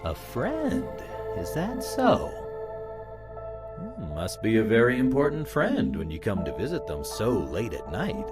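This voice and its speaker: A prying male stranger from a visual novel, put on by a woman, drawing out his small talk. His pitch swings very widely, over clearly audible music. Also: wildly swooping pitch, a little slow, full character voice. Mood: prying, curious. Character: nosy, slow-talking male stranger at night.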